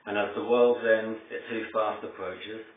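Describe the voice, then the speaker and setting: A man reciting his poetry in a solemn, deliberate way, pausing between short phrases. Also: on the low side, little pitch movement. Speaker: poet reading own work. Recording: echoey, distant mic, thin phone-like sound.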